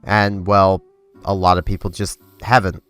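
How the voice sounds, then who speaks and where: Young man, congested, low smooth voice, rambling game commentary, faint background music. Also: flat delivery, a little slow, fairly bright tone, no echo. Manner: rambling, filler-heavy, self-interrupting. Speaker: gaming channel host. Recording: dry close recording with faint game music.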